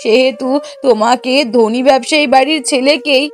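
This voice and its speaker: High-pitched bossy, scheming cartoon mother acted by a woman. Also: moderate pace, natural voice. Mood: bossy, indignant. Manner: bossy, scolding, argumentative. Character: scheming, sharp-tongued middle-aged mother and bossy wife. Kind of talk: Bengali moral-story cartoon dubbing.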